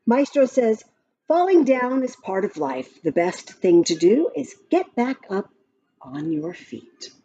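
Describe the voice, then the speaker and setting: A woman reading a rhyming picture book aloud to young children in an expressive, sing-song way, with counting and little asides. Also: on the high side, slow pace, slight background noise. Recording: muffled lo-fi audio with background music. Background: music from a picture-book app.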